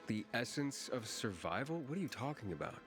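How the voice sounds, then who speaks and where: A man's voice: medium pitch, very animated, fairly quick, over music. A man acting a blunt, wry young survivor in a video game cutscene. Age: young adult.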